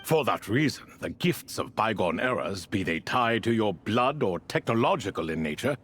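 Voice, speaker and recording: A man's voice: lowish voice, very animated, moderate pace, fairly bright tone, slightly rough, exaggerated voice. Whimsical ancient bird king, wildly lilting. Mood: courteous, wistful. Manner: theatrical, leisurely storytelling. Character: ancient male ibis king spirit, courteous, wistful, whimsical. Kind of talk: video game voice acting.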